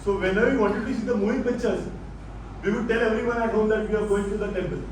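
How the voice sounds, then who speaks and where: A man's voice: high-pitched, steady tone, quick pace, close to an everyday voice. A man with an Indian accent playing a stern, controlling old father-in-law in a stage play, authoritative and disapproving. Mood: accusing. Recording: very echoey, distant stage recording with noise.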